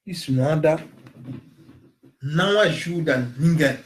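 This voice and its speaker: A man from Africa speaks in an impassioned, emphatic monologue. His voice sits fairly high and moves slowly, leaning hard on repeated phrases. The room around him rings with echo. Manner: emphatic, repeats phrases, rising to loud stresses. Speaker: commentator on migration.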